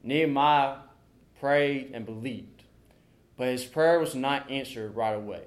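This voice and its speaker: A young man preaching and reading scripture at a church service, deliberate, pausing between short phrases. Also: mid-range voice. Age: young adult. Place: church sanctuary during a service.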